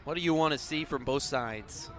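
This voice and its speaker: A man's voice: a little high, moderate pace, a bit bright, a little hiss or hum. A young man doing live play-by-play commentary of a soccer match as the announcer. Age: young adult.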